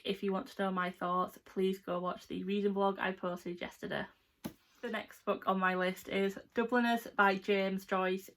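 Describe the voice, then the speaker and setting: Young adult woman, English accent, casual book vlogger, rambling informal delivery, fairly flat intonation, medium pitch. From England. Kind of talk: casual chat to camera.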